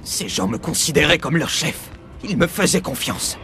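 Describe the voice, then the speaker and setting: A tormented male colony leader resisting mind control in a video game. He is strained and desperate, halting between phrases. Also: on the high side, expressive, pushed and bright, slight background noise. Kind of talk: dramatic French game dub acting.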